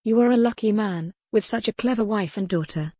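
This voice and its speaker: A woman's voice: mid-range voice, brisk, warm tone, no room sound, clean studio sound, natural voice. A woman playing a proud young noblewoman. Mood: polite, guarded. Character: young noblewoman, proud captive wife, earnest and sharp-tongued.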